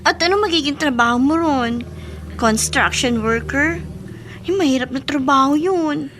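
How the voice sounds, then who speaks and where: A woman's voice: sits high, moderate pace, noisy background, natural voice. An actress playing a young pregnant woman who talks in an earnest, pleading and respectful way. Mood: hurt. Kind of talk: radio drama acting.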